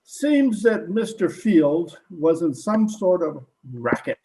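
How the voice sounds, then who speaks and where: A man's voice: sits high, animated, slow pace, dark tone, a little hiss or hum, full character voice. A man playing a plain-spoken police detective sergeant in an old-time radio drama, with a hesitant, folksy, polite manner. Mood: polite, probing.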